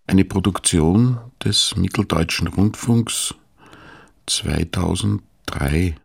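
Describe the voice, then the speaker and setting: A man reading scripted radio announcements and credits in a measured, sober manner. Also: low-pitched, gravelly, slightly rough.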